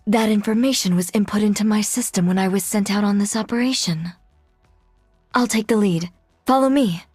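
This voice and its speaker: A woman's voice: medium pitch, normal speed, darkish tone, husky, dry recording, clean studio sound, faint music behind, natural voice. A woman playing an earnest young female android machine gunner in a video game, loyal and protective, with a direct way of speaking. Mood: resolute. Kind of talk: English video game voice acting.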